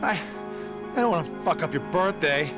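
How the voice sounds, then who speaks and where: A man's voice: on the high side, expressive, moderate pace, natural voice. A man playing an awkward, foul-mouthed, stammering young boyfriend in a video game cutscene. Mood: hesitant, sorrowful. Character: awkward, panicky young boyfriend, wounded then beheaded. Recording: loud game music behind.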